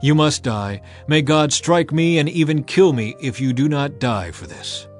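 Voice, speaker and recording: A man voicing a stern, driven king who speaks in a forceful, commanding way. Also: fairly high pitch, lively intonation, unhurried, faint music behind.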